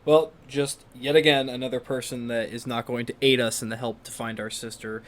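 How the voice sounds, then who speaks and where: A woman with an extremely low voice comments casually while playing a game. Her asides are wry and deadpan. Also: fairly quick. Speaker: gaming video creator.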